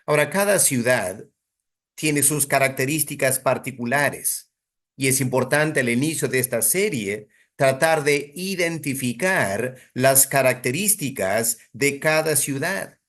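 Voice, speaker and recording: A man delivers an expository sermon as a Bible teacher. His voice sits at a medium pitch and moves at a slow pace. He speaks deliberately and stresses key phrases with rhetorical emphasis. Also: lively intonation, fairly bright tone.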